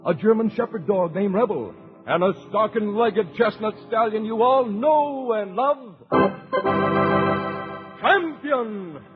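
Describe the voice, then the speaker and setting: Organ music plays clearly behind a muffled vintage radio recording. A male announcer speaks over it in a high, grand, dramatic late-1940s adventure style. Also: takes it slowly. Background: loud organ music.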